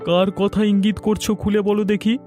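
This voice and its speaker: Man acting a high-voiced scheming village villain. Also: flat delivery, a little fast, dark tone, faint music behind, lightly acted. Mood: inquisitive. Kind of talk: cartoon voice acting.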